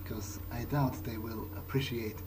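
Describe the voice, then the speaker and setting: A man playing a nervous novice vampire in a game, thinking aloud in a hesitant, halting way. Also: medium pitch, fairly even intonation, background noise, natural voice. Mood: relieved, wry. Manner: hesitant, halting, muttering to himself. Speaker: let's player role-playing in character. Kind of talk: in-character game playthrough narration. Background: game music.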